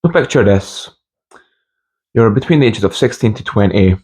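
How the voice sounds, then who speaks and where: A young man from Scotland and Hungary giving an informal motivational monologue with personal stories, speaking haltingly and repeating phrases for emphasis. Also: fairly low pitch, expressive, background noise. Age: young adult. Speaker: motivational video host.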